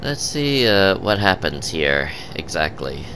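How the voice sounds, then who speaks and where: Low-voiced guy narrating his game slow and halting, lots of uhs, bit sniffly, faint game noise behind. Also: very animated. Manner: halting, many ums and uhs, chuckles often. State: sniffly with a cold. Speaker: gaming channel host. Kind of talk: casual gameplay commentary.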